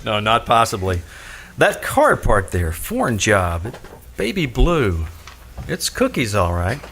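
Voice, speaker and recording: A man's voice: fairly low pitch, huge pitch swings, slow pace, a bit bright, a little hiss or hum, heavily acted. A man playing a shrewd, skeptical private detective in a radio drama, dry and probing as he questions and narrates. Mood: casual, watchful.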